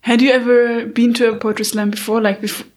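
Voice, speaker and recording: Flat, slightly high female co-host. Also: fairly quick. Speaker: podcast co-host. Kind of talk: podcast interview questions.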